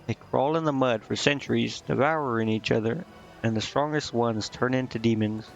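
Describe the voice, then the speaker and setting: A man voicing an earnest, naive female succubus from a video game, musing and halting as he reads her lines. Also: medium pitch, creaky, lo-fi sound, faint music behind, lightly acted. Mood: halting, reflective. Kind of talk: reading video game dialogue aloud.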